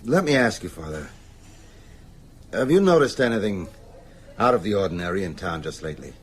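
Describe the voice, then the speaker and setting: An actor playing an earnest, worried older high school teacher in a radio drama, speaking gravely and deliberately. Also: male voice, sing-song, a little hiss or hum. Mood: grave, urgent.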